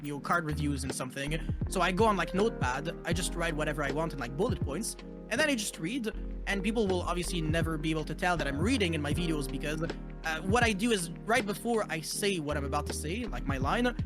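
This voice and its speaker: A man's voice: fairly high pitch, animated, fast talker, over music. A man from Montreal with a Quebec French accent, French his first language, chatting casually as an interview guest and leaning on lots of "like" and "you know". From Montreal, Canada. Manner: loose, filler-heavy with frequent "like" and "you know". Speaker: guest content creator.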